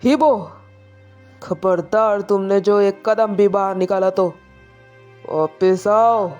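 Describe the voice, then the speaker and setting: A woman's voice: mid-range voice, fairly even intonation, slow pace, darkish tone, muffled recording, soft background music, natural voice. A woman voicing a stern old grandfather in a dramatised story, indignant and commanding. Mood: angry, forbidding.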